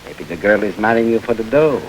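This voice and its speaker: A man playing a low-voiced hoodlum with a gruff threatening growl, 1940s radio drama, faint hiss on the old recording. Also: expressive, brisk, close to an everyday voice, low-quality recording. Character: menacing male gangster robber, tough. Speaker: stickup man.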